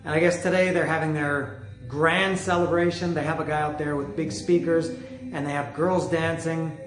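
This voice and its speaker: A middle-aged man hosting a music podcast from home, talking loudly in a casual, rambling way with many restarts. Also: fairly high pitch, little pitch movement, normal speed, a little hiss or hum. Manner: chatty, rambling, with frequent restarts; loud voice. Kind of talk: casual monologue. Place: home, street music outside. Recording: echoey room with music outside. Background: music from outside.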